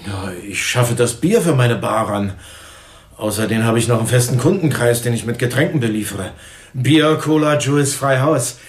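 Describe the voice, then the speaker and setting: A man's voice: medium pitch, moderate pace, reverberant, natural voice. A man acting in a radio drama as a hot-tempered, defensive bar owner, blustering and indignant. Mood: evasive, cocky.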